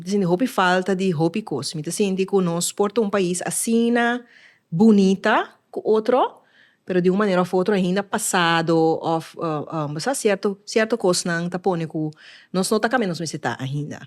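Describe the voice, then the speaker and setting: Woman, Curaçao Papiamentu accent, lowish voice, earnest, podcast chat. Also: a little mellow. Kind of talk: casual podcast conversation.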